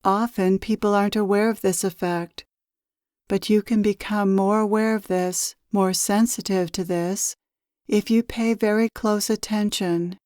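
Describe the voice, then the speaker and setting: Slow, soothing woman guiding meditation. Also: mid-range voice, no echo, studio quality. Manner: calm, soothing, measured with long pauses. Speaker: spiritual teacher leading a meditation. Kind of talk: slow guided meditation, channeled address.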